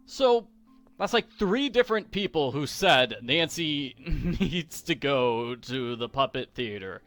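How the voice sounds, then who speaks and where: Casting: male walkthrough host, jokey and unhurried, highish voice, dry close recording over soft game music. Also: animated, no echo. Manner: playful, jokey. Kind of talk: casual game commentary. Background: faint game music.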